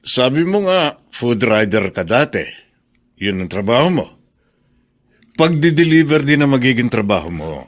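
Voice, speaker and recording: An actor playing a sly, businesslike Filipino shop boss with an insinuating manner in a radio drama. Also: male voice, medium pitch, sing-song, normal speed, pauses often, lightly acted. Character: sly shop boss with a shady side offer.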